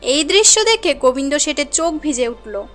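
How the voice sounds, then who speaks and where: A woman's voice: high voice, sing-song, normal speed, fairly bright tone, soft background music. A woman reading scripted storybook narration for a cartoon tale.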